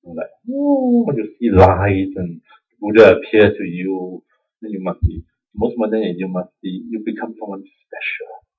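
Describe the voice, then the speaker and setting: Singaporean guy teaching buddhism, kinda low and husky, chill and joking at himself, muffled lo-fi sound. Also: big pitch swings, moderate pace. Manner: conversational, self-deprecating, chuckles often, uses "lah". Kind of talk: informal dharma talk. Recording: dull lo-fi recording.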